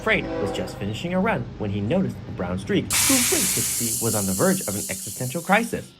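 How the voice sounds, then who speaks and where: A man's voice: medium pitch, huge pitch swings, brisk, poor audio. A man narrating a scripted children's story in storybook style. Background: music.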